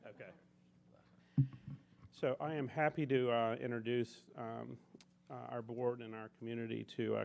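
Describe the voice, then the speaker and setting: A man's voice: medium pitch, creaky, darkish tone, a little hiss or hum. Earnest man reading an award tribute. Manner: earnest, halting, with frequent restarts. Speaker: hospital executive presenting an employee award. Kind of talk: public tribute at a board meeting. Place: public board meeting room.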